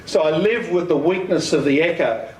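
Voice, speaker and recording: An older man giving an instructional talk, deliberate and emphatic, putting questions to his listeners. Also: a little high, darkish tone. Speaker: speaker giving an amateur radio talk. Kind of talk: instructional lecture with questions to the audience. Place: community centre meeting room. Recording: echoey hall, distant microphone.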